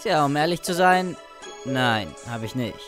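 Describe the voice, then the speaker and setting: A woman plays a smug young male cartoon hedgehog who loves to tease. The delivery is slangy and laid-back but very varied in pitch, with music clearly behind it. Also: animated, measured pace. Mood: blunt. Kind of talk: German fandub cartoon acting.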